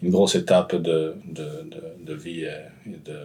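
A man's voice: very deep voice, level tone, a little slow, mellow. A man hosting a casual interview, hesitant and full of fillers. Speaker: podcast host.

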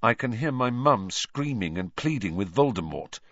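A man's voice: mid-range voice, expressive, moderate pace, fairly bright tone, no room sound, dull audio, pitched above the performer's own voice. A man voicing a brooding, bitter teenage boy hero in a novel, abrupt and intense. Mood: anguished, bitter.

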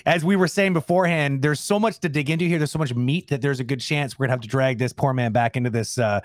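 A man's voice: medium pitch, a little fast, no echo, clean studio sound. A man hosting a casual interview with enthusiastic, loose phrasing. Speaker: host.

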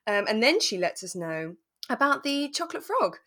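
A woman co-hosting a podcast in a relaxed conversational style, chatty and reflective, often ending on tag questions. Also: fairly high pitch, normal speed. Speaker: podcast co-host. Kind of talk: relaxed conversational drama recap.

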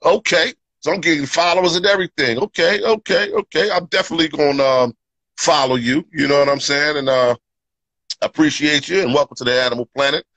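Bright, upbeat podcast host, dry close mic. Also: male voice, a little high, fairly quick, no room sound. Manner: upbeat, filler-heavy, repeats "you know what I'm saying". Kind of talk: casual live podcast chat. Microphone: podcast microphone.

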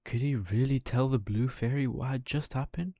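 A man's voice: medium pitch, normal speed, dark tone, no echo, studio quality. A man narrating a children's bedtime story as a storyteller.

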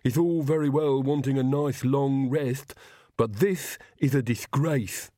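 A man's voice: mid-range voice, a little slow, dark tone, no room sound, studio quality, close to an everyday voice. A man voicing a loyal, grumbling old manservant to vampires in a storybook, muttering reproachfully. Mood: disgruntled muttering.

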